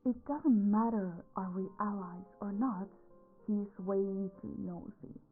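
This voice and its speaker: A woman playing a possessive, teasing mafia boss in an intimate audio roleplay, commanding and often dropping toward breathy whispers. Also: medium pitch, fairly even intonation, takes it slowly, phone-quality sound, soft background music. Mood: cold, guarded.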